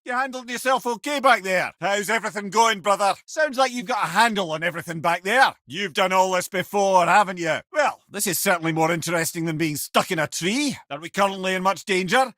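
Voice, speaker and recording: A man's voice: high-pitched, brisk, hardly any pauses, pushed and bright, dry recording, studio quality. An actor voicing a witty, worldly older male advisor in a video game, with a Scottish accent and a wry, conversational way with old-fashioned phrases. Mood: wry, urgent banter. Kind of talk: video game character dialogue.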